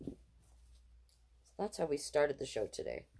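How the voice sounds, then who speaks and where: Woman, storytime host, animated intonation, unhurried pace, expressive picture book reading, casual playful asides. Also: low-pitched, vocal fry, husky. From Canada. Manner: expressive, deliberate, playful sound words. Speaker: storytime host and drag king performer.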